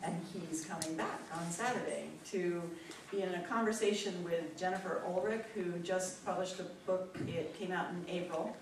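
An older woman with a slightly low voice speaks haltingly as she hosts a gathering. She is far from the microphone in a very echoey room, and her announcements are informal. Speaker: event host introducing a speaker. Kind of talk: informal announcements to a live audience. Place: indoor meeting room. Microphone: distant camera microphone. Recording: echoey, noisy room recorded from afar.

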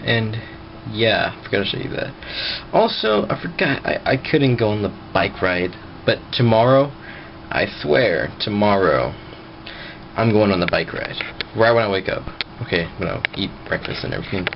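A man's voice: on the low side, lively intonation, normal speed, vocal fry. A young man talking casually to a handheld camera as he vlogs, recorded at arm's length. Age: young adult. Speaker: vlogger. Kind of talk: casual vlog talk to camera. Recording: muffled, noisy handheld camera audio.

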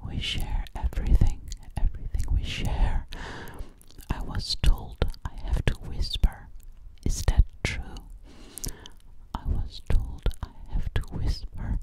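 A young woman playing a gentle, curious alien girl in an ASMR roleplay, whispering breathily very close to the mic and softly repeating her phrases. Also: lightly acted. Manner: slow, soothing, repeats words and phrases. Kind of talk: ASMR personal-attention roleplay. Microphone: very close to a large microphone.

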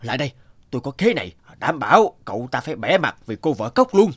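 A man's voice: mid-range voice, big pitch swings, quick pace, fairly bright tone. A man voicing a mocking, scheming student rival in a children's cartoon, with exaggerated delivery. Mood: indignant, scheming. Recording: music under the voice.

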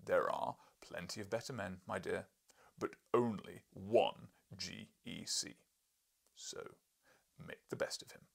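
A man's voice: deep voice, slow pace, gravelly, exaggerated voice. A man voicing a huge, domineering professor in an audiobook, pompous, mocking and emphatic. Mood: pompous, commanding. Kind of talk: audiobook character voice.

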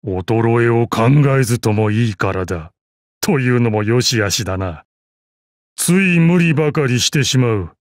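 A proud old fighting master from a video game, acted by a man, speaks in a medium-pitched voice with gruff dignity. His phrasing is archaic and dryly amused. The sound is dry, with no room around it. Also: expressive, normal speed, no echo, lightly acted. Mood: musing, composed. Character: proud, battle-hardened old martial arts master assassin. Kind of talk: Japanese mobile game character voice lines.

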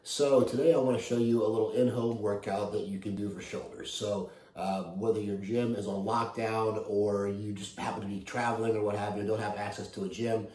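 Mellow-voiced man coaching in an echoey room. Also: lowish voice, steady tone, a little fast, hardly any pauses. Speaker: fitness coach. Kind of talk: casual workout demonstration. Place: living room at home, indoors. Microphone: camera a few meters away.

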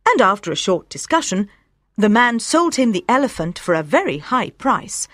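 Woman reading a simple story for english learners, super expressive pitch, dry studio sound. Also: dry recording. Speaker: audiobook narrator. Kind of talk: scripted story narration for language learners.

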